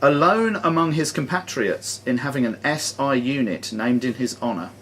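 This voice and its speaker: A man hosts a quiz with an animated, swooping delivery. He reads questions in a measured, formal way and drops in jokey asides. The live room recording has a light layer of noise. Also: medium pitch. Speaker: quizmaster. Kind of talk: reading quiz questions aloud to players. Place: sports and social club room.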